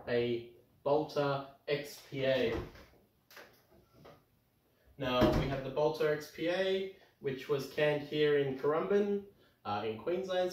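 A man in his thirties with an Australian accent, slightly croaky from illness, chatting casually through a cooking demonstration in his home kitchen with plenty of ums. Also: mid-range voice, a little hiss or hum. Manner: chatty, upbeat, lots of ums. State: croaky from tonsillitis. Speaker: home cooking show host. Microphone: camera across the kitchen. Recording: roomy kitchen sound, far from mic.

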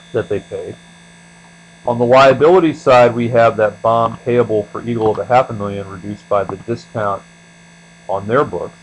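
A man giving an explanatory screencast lecture as an instructor, speaking deliberately and letting the ends of phrases trail off. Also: mid-range voice, low-quality recording. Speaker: accounting instructor. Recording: steady mains hum behind voice.

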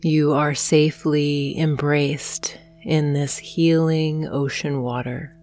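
A woman narrating a guided hypnosis meditation in a soothing, gentle manner, leaving long pauses between phrases. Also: low voice, level tone. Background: soft meditation music with singing bowls.

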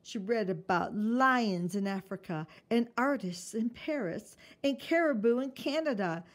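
A woman with a fairly high voice reads a children's picture book aloud. Her narration is expressive and shaped for young listeners. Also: measured pace.

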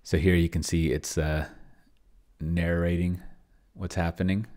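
A middle-aged man giving casual think-aloud screencast commentary, halting and muttering as he types. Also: deep voice, level tone, dark tone. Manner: halting, mutters while typing. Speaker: host of a solo roleplaying experiment vlog. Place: small indoor room with bookshelves.

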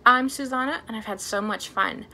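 A woman in her thirties leading a storytime for young children as a librarian, talking in an animated way with simple, exaggerated phrasing. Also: fairly high pitch, moderate pace, poor audio. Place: small plain indoor room.

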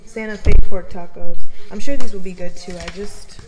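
A woman's voice: mid-range voice, normal speed, heavy background noise. A young woman chatting casually to her viewers in a day-in-my-life vlog, rambling through asides. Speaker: vlogger.

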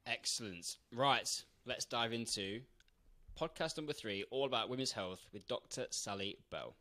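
A man's voice: medium pitch, lively intonation, normal speed, sharp tone. A man in his thirties co-hosting a podcast, teasing and self-deprecating in casual chat. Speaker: podcast co-host. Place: home gym room, indoors. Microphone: handheld mic on video call.

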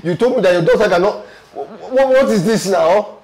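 Guy acting indignant hurt man in a drama, kinda high voice, really animated, keeps repeating his complaints. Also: normal speed, roomy sound, lightly acted, rough recording. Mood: aggrieved. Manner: emphatic, repeats himself, pleading complaints. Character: aggrieved injured man, indignant and complaining, hired by a madam. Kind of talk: film drama dialogue with comic exasperation.

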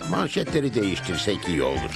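A man's voice: low voice, wildly swooping pitch, fast, gravelly, heavily acted. A man voicing a dry, self-satisfied talking horse in a dubbed cartoon, with a wry manner. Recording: loud music bed under the dialogue.

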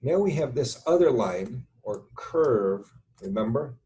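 A middle-aged man with a relaxed, mellow voice explains technical material like an instructor. He goes slowly and haltingly, thinking aloud as he works at his computer, with some keyboard typing around his words. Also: mid-range voice. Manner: halting, deliberate, thinking aloud while writing. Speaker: structural engineering instructor. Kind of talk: informal tutorial explanation over screen share. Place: indoors at a computer, webcam.

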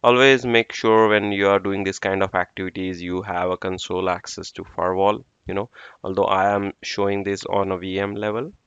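A man with a low, smooth voice talks through a firewall-style tech walkthrough step by step, with small fillers between steps. The sound is dry and close, with a dull, slightly lo-fi tone. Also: fairly even intonation, a little fast, fairly bright tone, dry recording. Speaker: network engineer instructor. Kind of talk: screen-recorded tech tutorial. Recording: dull dry lo-fi screen recording.

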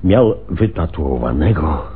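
Meticulous crime witness, raspy, slow halting delivery, radio play, narrow phone-like sound. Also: male voice, extremely low pitch, lively intonation, exaggerated voice. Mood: shaken, precise. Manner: halting, deliberate. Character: male robbery witness, halting and painstakingly precise. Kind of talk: Polish radio play acting. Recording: muffled, phone-like radio recording.

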